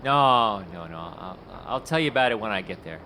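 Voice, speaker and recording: An actor playing a middle-aged talent scout in an audio drama, nervous and talkative. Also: male voice, lowish voice, expressive, normal speed, bright, forceful tone, a little hiss or hum. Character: tenacious male talent scout, fast-talking and anxious. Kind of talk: radio drama acting.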